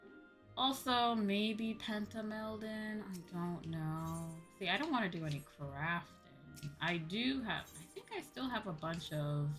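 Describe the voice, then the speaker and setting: A woman livestreamer chatting casually to her viewers as she plays, informal and rambling, often repeating herself. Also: low voice. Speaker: livestreamer and host.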